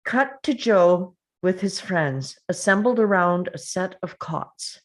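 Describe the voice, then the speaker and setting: A woman's voice: on the low side, expressive, takes it slowly. A woman reading stage directions as the narrator of a screenplay table read.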